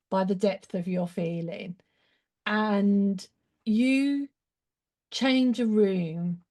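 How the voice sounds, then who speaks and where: Mellow-voiced effusive female host. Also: mid-range voice. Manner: effusive, gushing praise, animated. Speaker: show host. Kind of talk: casual interview chat.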